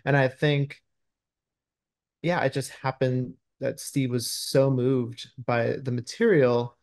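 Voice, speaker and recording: Man, thirties, medium pitch, screenwriter interviewee, conversational, video-call sound. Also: fairly even intonation, takes it slowly, darkish tone. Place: indoor room at home, video call.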